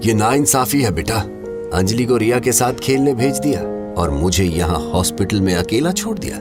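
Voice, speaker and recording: An actor playing a grumbling older uncle, playfully complaining, in an audio drama. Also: male voice, on the low side, lively intonation, moderate pace, over loud music, lightly acted.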